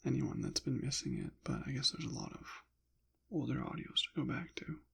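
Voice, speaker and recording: A man rambling softly in an ASMR style, slipping in and out of a whisper, with a hesitant, halting, apologetic manner. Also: mid-range voice, creaky, husky. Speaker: ASMR channel creator. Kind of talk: soft-spoken ASMR ramble, partly whispered.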